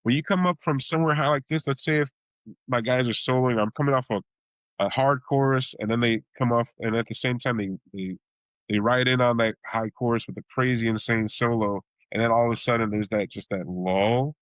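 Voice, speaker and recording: A man from Chicago, a blues singer and bandleader, talking casually in an interview, rambling with many restarts and acting out reactions in sudden high exclamations. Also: brisk, fairly bright tone, dry recording, low-quality recording.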